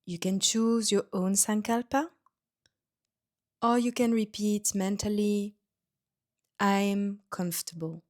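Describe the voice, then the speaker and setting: A woman leads a guided relaxation as a yoga nidra teacher. Her delivery is very slow and gentle, with short, soothing phrases set apart by pauses. Her voice sits at a medium pitch for a woman. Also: steady tone. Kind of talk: guided meditation.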